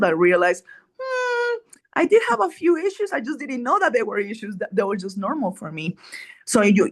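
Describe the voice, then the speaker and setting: A middle-aged woman speaks at a moderate, mid-range pitch as an interview guest. She explains immunology in an earnest, flowing way and keeps checking in with the listener. She sounds like an expert walking through her field. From Colombia.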